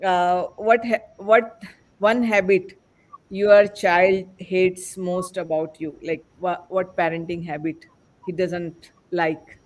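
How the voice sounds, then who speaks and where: A man hosting a panel discussion as podcast host, speaking in a halting, rambling way. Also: sits high, level tone, poor audio.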